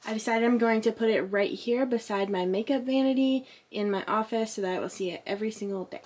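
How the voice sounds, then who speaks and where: A woman in her late twenties talking casually to her viewers in a relaxed, chatty vlog from her home office. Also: fairly high pitch, quick pace, echoey room, slight background noise. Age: 20s, about to turn 30. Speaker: vlogger.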